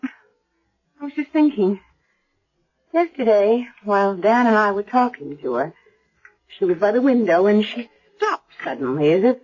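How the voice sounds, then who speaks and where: A caring American female doctor in a radio drama. Her voice is husky and mid-pitched, her manner earnest and gently persistent. The recording is dull and old-fashioned. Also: lively intonation, a little slow, pauses often, soft background music. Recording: dull vintage radio broadcast.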